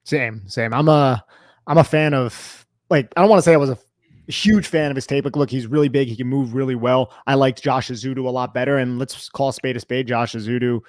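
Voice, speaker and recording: A man's voice: medium pitch, brisk, a little mellow, no room sound, clean studio sound. An American man co-hosting a sports talk show, giving casual, conversational analysis.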